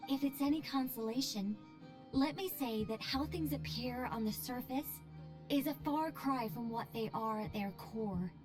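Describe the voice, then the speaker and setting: An actress playing a poised, playful, self-assured angelic mage in a video game, airily polite and gently boastful. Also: female voice, high voice. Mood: composed, teasing. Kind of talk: video game character dialogue. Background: background music.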